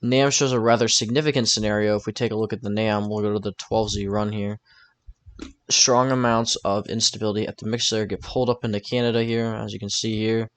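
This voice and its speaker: A man's voice: fairly low pitch, steady tone, brisk. A man talking informally through a weather forecast over maps, thinking aloud in a casual, tentative way. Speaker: weather forecaster presenting his own video.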